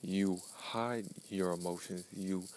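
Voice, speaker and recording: A man's voice: low-pitched, fairly even intonation, takes it slowly, darkish tone, slight background noise. A man in his early twenties talking to himself in a blunt, profane podcast monologue, repeating phrases for emphasis. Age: 20s. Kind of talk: self-talk pep monologue.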